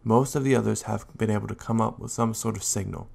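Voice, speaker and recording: A man playing a calm, responsible Raichu guardian in an audio drama, speaking in a measured, explanatory way. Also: on the low side, creaky, slightly rough, full character voice. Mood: earnest, explaining. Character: calm, helpful adult male Raichu guardian, steady and responsible.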